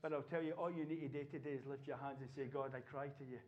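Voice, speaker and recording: A man preaching a sermon, building from quiet earnestness to loud, fervent exhortation. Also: mid-range voice, steady tone, quick pace, highs cut off. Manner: impassioned preaching, rising to shouts. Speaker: pastor. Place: church.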